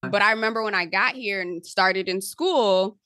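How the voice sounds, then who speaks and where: Haitian-born lady hosting, chatty, laughs a lot, crisp bright voice, dry mic. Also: medium pitch, no room sound. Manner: quick, chatty, laughs easily. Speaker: podcast host. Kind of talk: casual interview chat.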